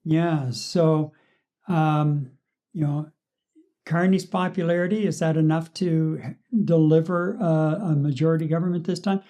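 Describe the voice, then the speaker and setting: A man co-hosting a talk show with unscripted political commentary, haltingly repeating words as he starts. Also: on the high side, fairly even intonation, dark tone.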